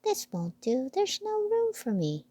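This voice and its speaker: Female reader as little mouse, squeaky, high, fussy, tender-hearted, short emphatic bursts, storybook character. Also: wildly swooping pitch, unhurried, dark tone, full character voice. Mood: indignant, bossy.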